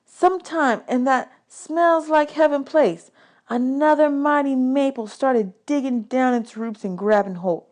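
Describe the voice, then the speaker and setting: A woman with a somewhat high-pitched voice reads a children's novel aloud. She delivers the first-person narration expressively, in the way of a teacher reading to pupils. Key words are drawn out for emphasis. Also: measured pace, darkish tone.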